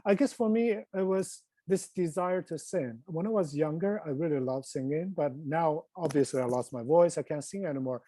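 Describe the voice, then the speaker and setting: A man from China with a Chinese accent, a filmmaker reflecting casually and earnestly on a panel, in a halting way. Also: a little high, mellow, dry recording.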